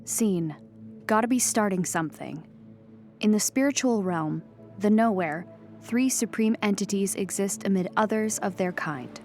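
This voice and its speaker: A woman's voice: medium pitch, a little slow, darkish tone, faint music behind. A woman narrating an audio play, reading stage directions as scripted dramatic narration.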